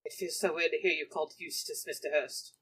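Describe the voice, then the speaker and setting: A woman's voice: low voice, steady tone, fairly quick, slightly rough. A woman playing a tabletop game, switching between casual improvised role-play and wry, chatty table banter.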